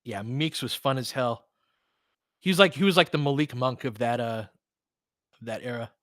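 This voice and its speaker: A man's voice: medium pitch, moderate pace, a bit bright, no echo, studio quality. A man doing casual live basketball commentary and chatting with viewers, informal and slangy with some swearing. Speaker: host of a fan watch-along.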